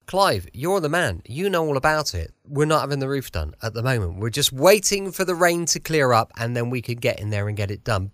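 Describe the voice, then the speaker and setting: A man's voice: a little high, bright, forceful tone, no room sound, studio quality. A man with an English accent hosting a late-night podcast in a chatty, effusive, rambling way. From United Kingdom. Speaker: podcast host. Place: close dry home recording.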